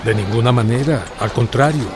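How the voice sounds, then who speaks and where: An actor playing a modest, composed army general in a radio drama, formal and measured. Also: male voice, medium pitch, close to an everyday voice. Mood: composed, firm. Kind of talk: Spanish-language historical radio drama acting. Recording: clear outdoor street noise behind.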